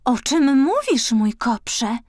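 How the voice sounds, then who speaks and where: A woman voicing a gentle, concerned queen in a children's storybook. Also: sits high, very animated, takes it slowly, dry recording, clean studio sound, full character voice. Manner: gentle, worried.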